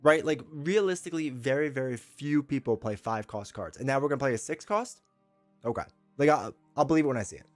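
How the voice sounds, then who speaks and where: A man's voice: medium pitch, expressive, brisk, no room sound, soft background music. A man with a stuffed-up voice from a cold, hosting a casual live stream. He talks in a rambling, opinionated way, often restarting his sentences as he reads and answers chat. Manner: rambling, self-correcting, opinionated. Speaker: streamer host.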